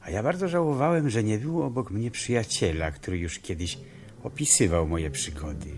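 An actor playing a reflective, wry amateur detective in a 1970s radio play, musing and telling the story in measured phrases. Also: male voice, on the low side, animated. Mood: wry narrating. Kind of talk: 1970s radio play acting with first-person narration.

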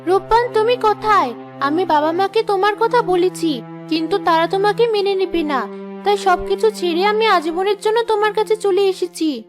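A woman plays a haughty young princess from a cartoon fairy tale. Her voice is extremely high and girlish. Faint music plays underneath. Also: normal speed. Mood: earnest.

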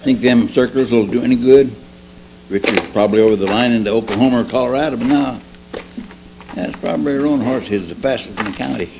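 A man's voice: mid-range voice, fast talker, natural voice. An older man playing a deferential frontier deputy in a radio drama, with a homespun rural Western American drawl. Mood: easygoing. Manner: homespun, plain-spoken. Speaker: radio actor. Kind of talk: old-time radio drama re-creation, read from script. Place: convention meeting room, indoors. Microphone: stand microphone on stage. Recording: noisy amateur live-stage video.

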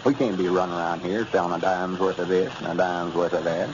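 A man's voice: low-pitched, fairly even intonation, fast, lightly acted. An older country storekeeper, played by a male radio actor, scheming and self-important. He grumbles in a folksy rural Arkansas drawl, in the style of 1940s radio comedy. The sound is dull and plainly noisy. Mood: disgruntled. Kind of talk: 1930s-40s radio comedy acting. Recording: muffled, noisy old radio recording.

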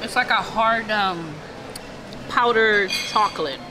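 A woman's voice: medium pitch, takes it slowly, noisy background. A young woman casually reviewing dishes at a restaurant table, enthusiastic and savoring each bite. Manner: enthusiastic tasting, lots of "mm" and "so good". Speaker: food vlogger. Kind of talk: casual food review chat. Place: busy restaurant dining room. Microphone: camera across the table. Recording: loud diners all around. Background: loud restaurant crowd chatter.

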